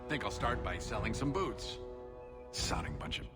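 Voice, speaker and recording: A man's voice: lowish voice, very animated, normal speed, loud music behind the voice. A man playing a sour, put-upon shady smuggler in a video game, exasperated and muttering. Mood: sour, resigned.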